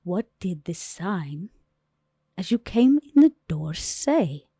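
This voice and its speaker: A woman playing a cold, commanding vampire club owner, questioning deliberately in a tabletop game. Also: fairly low pitch, big pitch swings, warm tone, husky, dry recording, studio quality, heavily acted. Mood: cold, insistent.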